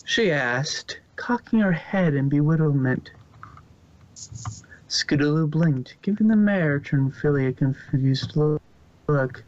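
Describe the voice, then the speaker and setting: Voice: medium pitch, expressive, slow, darkish tone. A narrator reading a fan story aloud in a plain way, often stumbling and restarting words. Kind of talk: amateur fanfic reading. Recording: dull lo-fi home recording.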